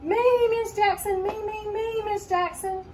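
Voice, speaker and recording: A woman's voice: very high-pitched, steady tone, a little slow, pitched above the performer's own voice. A woman voicing an earnest, blunt, sassy schoolgirl with folksy, ungrammatical speech. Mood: eager. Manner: folksy, ungrammatical Southern-style child talk. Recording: noisy, distant microphone.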